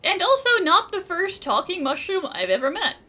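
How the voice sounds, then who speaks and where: Bright, high-voiced woman gamer. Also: very animated, moderate pace, slight background noise. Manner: wisecracking, playful. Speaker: gaming streamer. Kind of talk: casual game commentary.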